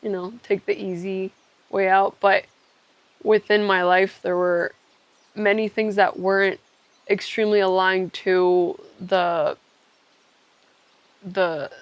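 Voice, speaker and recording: A woman's voice: mid-range voice, little pitch movement, slow, pauses often, no echo, highs cut off. A young woman from Ecuador talking candidly and reflectively on a podcast, thinking aloud with trailing asides. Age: young adult. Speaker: podcast co-host telling their own story.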